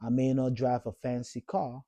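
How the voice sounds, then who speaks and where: A man's voice: medium pitch, level tone, normal speed, warm tone, dry recording. A man in his thirties from Cameroon, giving an earnest, encouraging motivational talk to camera and repeating points for emphasis. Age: 30s. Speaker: vlogger.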